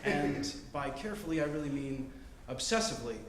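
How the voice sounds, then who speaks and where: A man in his twenties telling a story on stage, choosing and enunciating each word carefully, with deliberate pauses. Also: fairly high pitch, expressive, noisy background. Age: 20s. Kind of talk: live storytelling performance. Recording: roomy live-venue sound.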